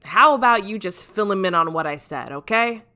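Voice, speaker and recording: A woman voicing a gruff, irritable male fire station captain in an audiobook, with a loud, emphatic, bossy delivery. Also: medium pitch, expressive, normal speed. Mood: breezy, commanding.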